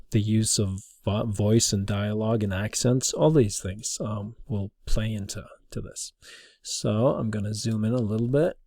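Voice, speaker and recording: A man giving an informal, halting explanation as a teacher demonstrating something to a class. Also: fairly low pitch, warm tone, no room sound, studio quality.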